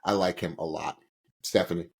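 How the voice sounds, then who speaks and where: A man's voice: low-pitched, steady tone, moderate pace, gravelly, a little mellow, husky. A man co-hosting a podcast, giving casual reaction commentary in a gushing way and repeating words for emphasis. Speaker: podcast co-host.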